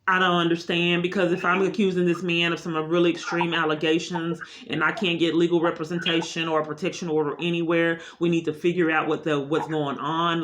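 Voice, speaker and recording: Insistent woman caller with a bright voice. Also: fairly low pitch. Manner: insistent, long-winded, repeating herself. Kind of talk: unscripted phone complaint.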